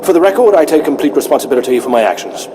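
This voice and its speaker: An actor playing a proud veteran starship captain in a video game, firm, dignified and defiant. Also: male voice, fairly high pitch, animated, rapid-fire, background noise, lightly acted. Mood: resigned defiance.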